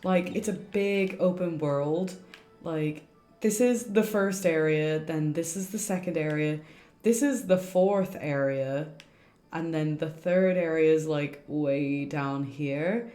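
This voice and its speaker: Girl streamer chatting while gaming, mellow lowish voice, echoey room, faint music. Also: slow pace. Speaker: game livestreamer. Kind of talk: casual chat while playing. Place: indoor room, livestream setup. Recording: roomy streamer mic with game music.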